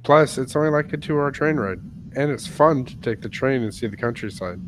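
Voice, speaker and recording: A man's voice: mid-range voice, a little fast, slight background noise. An American man co-hosting a casual podcast chat, telling stories with lots of laughs. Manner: chatty storyteller, laughs often. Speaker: podcast co-host.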